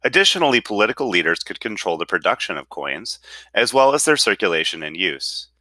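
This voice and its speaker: A man's voice: lowish voice, big pitch swings, brisk, sharp tone, no room sound. A man reading an article aloud with careful enunciation. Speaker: reader.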